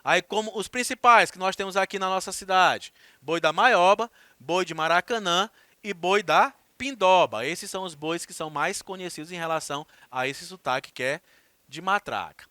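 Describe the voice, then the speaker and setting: A man's voice: high voice, normal speed, pushed and bright, no room sound. A man teaching a recorded class, explaining things with chatty asides to his students.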